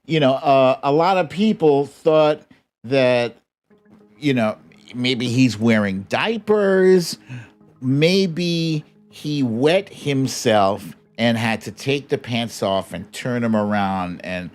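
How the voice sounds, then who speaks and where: Slow-talking American man, jokey political host. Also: medium pitch, expressive, darkish tone, faint music behind. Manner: mocking, jokey, conversational. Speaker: livestream host and political commentator. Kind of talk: casual humorous political commentary.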